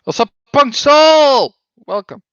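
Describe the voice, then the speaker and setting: A man's voice: extremely high pitch, animated, slow pace, a bit bright, no room sound, muffled recording. A man from Belgium with a Belgian accent, a laid-back panelist on a gaming podcast who laughs often. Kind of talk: casual gaming podcast chat.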